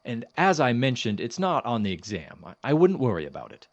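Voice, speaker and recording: An actor playing a courteous, dismissive chemistry professor in an audio drama, speaking in a formal, measured, gently condescending manner. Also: male voice, mid-range voice, huge pitch swings, lo-fi sound. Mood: dismissive, patronizing. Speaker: substitute lecturer. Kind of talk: audio drama, lecture and office talk.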